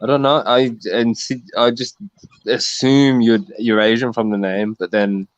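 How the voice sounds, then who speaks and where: A man's voice: mid-range voice, little pitch movement, normal speed, dry recording. A blunt man whose voice comes through a dull, lo-fi call line. He barges into a heated panel debate, repeating "yo" and "man" until he gets a turn. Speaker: panel participant.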